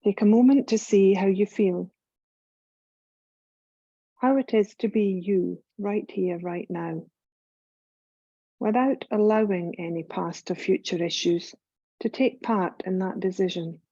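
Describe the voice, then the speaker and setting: A woman leads a guided relaxation in a soft-edged, mellow voice. She is calm and soothing, speaking very slowly and leaving long pauses between phrases. The recording sounds slightly dull and muffled. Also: medium pitch, husky, dry recording. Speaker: yoga nidra guide. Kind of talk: guided meditation, scripted.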